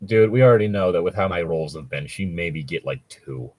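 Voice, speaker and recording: A man in a casual, jokey chat. His voice sits fairly low and has a husky edge, swinging widely in pitch as he talks. The sound is close and dry. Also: fairly quick. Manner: animated, jokey, swears casually. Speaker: co-host chatting about tabletop roleplaying.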